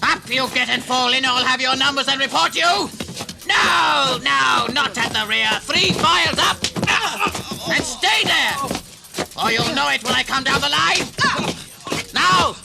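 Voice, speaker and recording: A brutal orc captain who barks his orders in a high, gravelly snarl. He drags his words out slowly, and the old radio broadcast carries music beneath him. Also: raised voice, full character voice. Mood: bullying. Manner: barking harsh orders. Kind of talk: 1980s radio drama acting.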